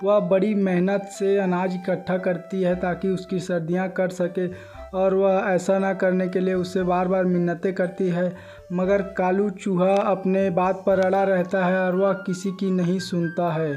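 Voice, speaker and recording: A man's voice: sits high, monotone, a little slow, a little mellow, faint music behind. A man narrating a scripted children's moral story as a storyteller.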